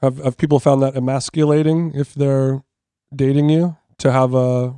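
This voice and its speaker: A man's voice: mid-range voice, level tone, normal speed, warm tone, clear, resonant tone, no echo. A man co-hosting a podcast who riffs goofily and peppers his talk with "like". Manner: goofy, riffing, lots of "like". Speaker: podcast co-host. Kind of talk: casual podcast chat.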